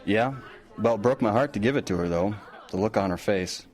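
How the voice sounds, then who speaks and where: A man's voice: on the low side, fairly quick, a little mellow, lightly acted. An actor playing an earnest, kindly, slightly bashful small-town lawman in an audio drama. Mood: earnest, matter-of-fact.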